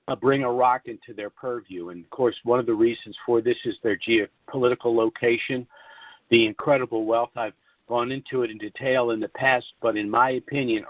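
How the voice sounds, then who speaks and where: Man, conference call presenter, average pitch, deliberate formal explaining, hesitant pauses, dull lo-fi audio. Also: no room sound.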